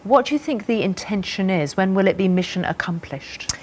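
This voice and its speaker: A woman's voice: medium pitch, expressive, brisk, darkish tone, slight background noise. A woman with a British accent interviewing a guest as a broadcast news presenter.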